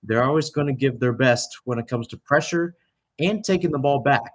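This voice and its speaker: A man's voice: mid-range voice, moderate pace. A young man giving live radio-style soccer play-by-play, talking conversationally and filling in background detail between calls. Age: young adult.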